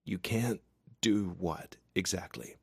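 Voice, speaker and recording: A man voicing an earnest, tender young male lover in an audiobook, speaking gently and haltingly. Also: deep voice, vocal fry, breathy edge, no echo, clean studio sound, full character voice. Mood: gentle, hushed. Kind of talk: audiobook character dialogue.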